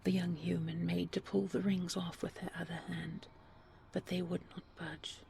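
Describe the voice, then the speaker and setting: A woman narrating a scripted bedtime fairy tale in a soft, breathy, soothing and unhurried way, as a storyteller. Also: on the low side, little pitch movement.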